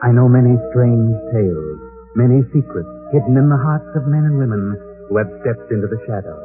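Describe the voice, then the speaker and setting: An actor voicing a mysterious, ominous narrator figure in a 1940s radio drama, over music on an old, tinny broadcast. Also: male voice, mid-range voice, lively intonation, lightly acted. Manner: slow, ominous. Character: mysterious adult male night-walking narrator, ominous.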